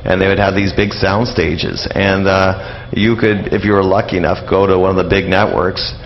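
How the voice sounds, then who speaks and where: A man explaining things as an interview guest in a casual, halting, rambling way. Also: deep voice, fairly even intonation. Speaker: interview guest, old-time radio enthusiast. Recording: muffled audio with faint background noise.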